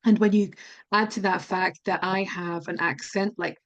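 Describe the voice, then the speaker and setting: A woman's voice: on the low side, fairly even intonation, moderate pace. A Scottish woman answering interview questions as a pronunciation specialist, candid and earnest, laughing at herself now and then, on an online call. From Scotland.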